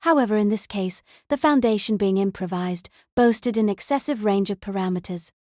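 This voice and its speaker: A woman reading a novel as audiobook narrator, in a measured, literary storytelling manner. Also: medium pitch, darkish tone, no echo, clean studio sound.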